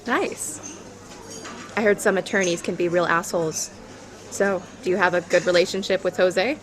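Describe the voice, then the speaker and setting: A woman's voice: on the low side, fairly even intonation, moderate pace, close to an everyday voice. A woman acting in an audio drama as a flirtatious professional on a coffee date, self-assured and coy. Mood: flirtatious, curious.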